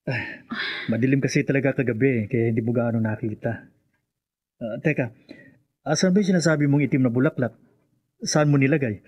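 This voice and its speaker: A man playing a sensible, skeptical, calmly dismissive young man in a radio drama with slightly dull sound. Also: medium pitch, fairly quick, pauses often, breathy edge, lightly acted.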